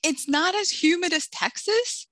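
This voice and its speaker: Middle-aged woman guest, lively storyteller, bright tone, dry close sound. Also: very high voice, measured pace, no echo. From Dominican Republic, raised in Massachusetts. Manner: animated storyteller, acts out quoted voices. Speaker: author guest. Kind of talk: casual interview chat.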